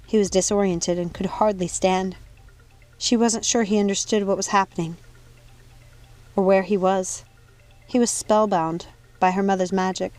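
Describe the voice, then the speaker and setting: A woman's voice: medium pitch, a little mellow. A woman reading an audiobook as narrator, in a dramatic scripted storytelling style. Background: music.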